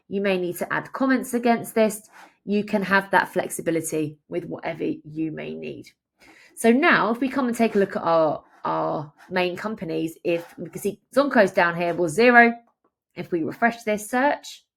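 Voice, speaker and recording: Female tutorial presenter, lower-pitched with noticeable vocal fry, instructional step-by-step walkthrough delivery. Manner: step-by-step explaining.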